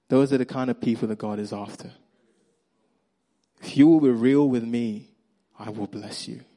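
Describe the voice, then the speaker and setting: A man with a Nigerian accent preaching a sermon, acting out the characters' lines and swinging between loud emphasis and quiet, hushed moments. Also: mid-range voice. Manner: animated storyteller, acts out Bible characters' lines.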